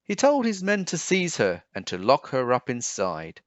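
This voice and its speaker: Male picture-book reader: measured, expressive, very varied pitch, dry and a little dull in sound. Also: no room sound. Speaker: storybook reader. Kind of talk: children's read-aloud narration. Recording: dull, slightly muffled sound.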